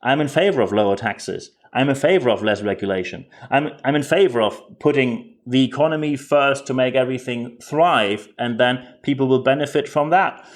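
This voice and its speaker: A man's voice: mid-range voice, normal speed, hardly any pauses. A man with a German accent, speaking English as a second language, hosting a podcast and giving direct, earnest commentary with frequent repeated words. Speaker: podcast host.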